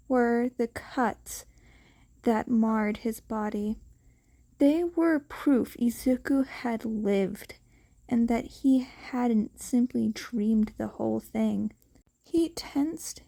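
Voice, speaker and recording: A woman reading scripted fiction aloud as an amateur audiobook narrator, with a halting delivery and small stumbles. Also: on the high side, creaky, warm tone.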